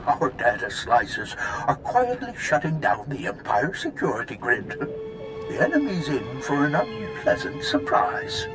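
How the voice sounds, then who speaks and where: A man playing an elderly, theatrical, wise Jedi master in a video game, with dramatic pauses and drawn-out mentor phrasing. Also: medium pitch, huge pitch swings, over loud music, rough recording. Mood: brisk, commanding.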